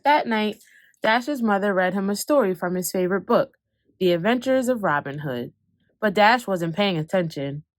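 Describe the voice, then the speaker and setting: A woman reading a children's picture book aloud, expressive, slowing down for calm moments and speeding up for excitement. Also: medium pitch, no echo. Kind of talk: read-aloud storytelling.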